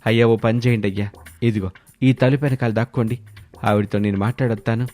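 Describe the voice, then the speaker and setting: A man's voice: medium pitch, steady tone, fast talker, darkish tone, faint music behind, close to an everyday voice. A man voicing a poor, humble but crafty village orphan who speaks deferentially and pleadingly in a moral story.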